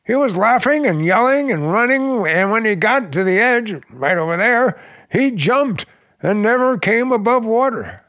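An actor playing a plain-spoken, practical real estate agent in a 1940s radio drama. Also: male voice, high voice, lively intonation, moderate pace, fairly bright tone, no room sound. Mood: insistent. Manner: matter-of-fact, emphatic storyteller.

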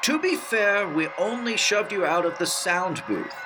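Fairly high-voiced radio newsman. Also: sing-song, a little slow, noisy background. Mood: defensive, dry. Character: earnest, grave male radio news anchor. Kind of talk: old radio newscast acting.

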